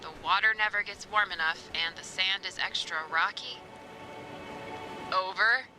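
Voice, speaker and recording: An actress playing a wry local woman in a video game, deadpan and sardonic over a walkie-talkie. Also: medium pitch, measured pace, music in the background, rough recording. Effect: walkie-talkie radio. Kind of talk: naturalistic video game acting.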